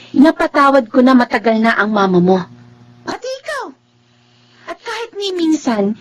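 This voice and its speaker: An actress playing a hurt, proud single mother in a radio drama, with a Filipino accent and emphatic delivery. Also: fairly high pitch.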